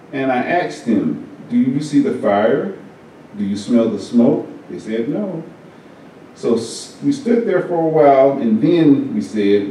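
A man reading a first-person story aloud at a church service, in a measured, unhurried way. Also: medium pitch, mellow, slight background noise. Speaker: service reader. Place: church meeting room. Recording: very echoey hall, mic far off.

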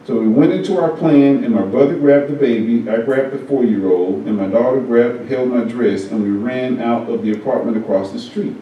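A man reading a first-person story aloud at a church service, in a measured, unhurried way. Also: mid-range voice, muffled recording, slight background noise. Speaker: service reader. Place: church meeting room. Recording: very echoey hall, mic far off.

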